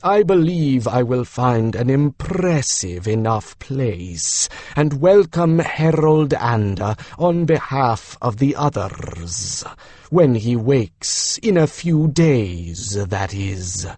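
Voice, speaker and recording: A man performs a young male griffin for a fantasy audiobook. He is earnest and a little sheepish, with stately formal phrasing. Each phrase comes out very slowly and haltingly, in a dry, close recording. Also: medium pitch, big pitch swings, warm tone, no room sound, full character voice. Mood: pleased, proud. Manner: slow, halting, formal speech. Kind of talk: audiobook character voice.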